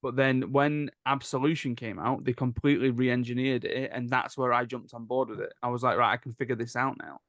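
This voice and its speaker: A man with a medium-pitched voice hosts an informal podcast. He talks in a casual, opinionated way, recorded dry with hardly any room sound. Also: steady tone, a little fast, hardly any pauses, fairly bright tone, dry recording. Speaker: podcast host.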